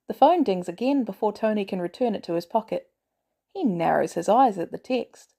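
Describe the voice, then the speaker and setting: Female story narrator, mellow timbre, expressive pitch, scripted fiction read. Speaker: podfic reader.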